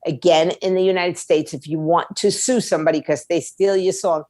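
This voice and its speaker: Female expert guest, middle-aged, lowish pitch, dry recording. Candid, plain-spoken, conversational explainer. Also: fairly even intonation, brisk, darkish tone, no room sound. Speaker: guest, music industry attorney. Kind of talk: conversational interview answers, explaining advice.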